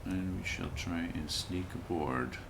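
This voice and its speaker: Low-voiced man narrating his gameplay. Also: measured pace, vocal fry, noisy background. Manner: relaxed, thinks aloud and chats with viewers while playing. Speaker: livestreamer playing a video game. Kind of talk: casual let's-play commentary.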